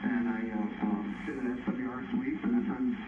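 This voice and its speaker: A man from Bulgaria, a radio amateur chatting on the air in a halting way full of fillers. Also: mid-range voice, steady tone, roomy sound, noisy background. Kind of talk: casual on-air chat. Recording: distant, dull shortwave radio reception.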